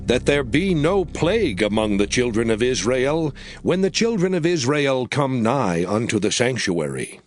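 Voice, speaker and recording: A man's voice: mid-range voice, big pitch swings, a little slow, few pauses, no room sound. A man playing God as a solemn, commanding lawgiver in a dramatized scripture reading. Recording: music under the voice.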